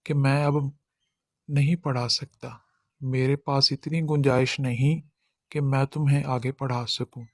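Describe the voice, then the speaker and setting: A man plays a loving, middle-aged father from a poor village in a cartoon moral tale. He speaks earnestly and gently, at an ordinary male pitch. The sound is dry, with no room around it. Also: flat delivery, a little slow, no room sound. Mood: regretful. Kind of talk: acted children's moral story.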